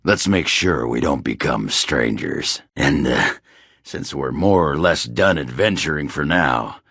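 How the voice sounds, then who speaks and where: Game casting: boozy, blunt old-school dwarf brawler, raspy growl, slow drawl with big swings in pitch. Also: male voice, animated, a bit bright, no echo, studio quality, exaggerated voice. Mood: gruff, fond. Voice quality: gravelly, rough. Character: gruff, boozy, crude male dwarf warrior. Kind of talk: video game character acting.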